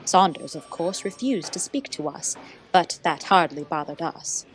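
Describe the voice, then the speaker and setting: A woman's voice: sits low, expressive, measured pace. A woman playing a devoted detective's assistant, narrating a radio play in an earnest, literary way. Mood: wry.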